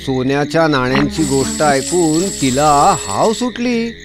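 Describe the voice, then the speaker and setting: Higher-pitched man narrating a fairy tale.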